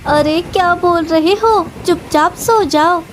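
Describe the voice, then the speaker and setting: A woman's voice: very high voice, slow, slight background noise. A woman voicing a scheming, bossy female crow wife in a children's cartoon. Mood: scolding. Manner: sly, bossy. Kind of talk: Hindi children's cartoon dialogue.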